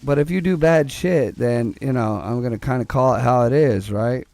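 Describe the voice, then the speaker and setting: A young man with a low voice, streaming a game and chatting casually, rambling and cursing as he plays. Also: moderate pace, gravelly, a little mellow, no echo. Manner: rambling, profane, joking, talks to chat and to the game. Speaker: gaming streamer. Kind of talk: casual gameplay commentary.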